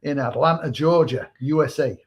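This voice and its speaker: A man from England with a Manchester accent, co-hosting a casual online talk show in a relaxed, conversational way. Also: a little high, normal speed. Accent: Manchester English. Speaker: co-host.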